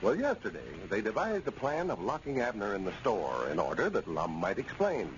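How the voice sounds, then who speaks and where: Animated male announcer, old muffled radio. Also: lowish voice, brisk. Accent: American. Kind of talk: 1940s radio scripted narration. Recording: dull, noisy old radio recording.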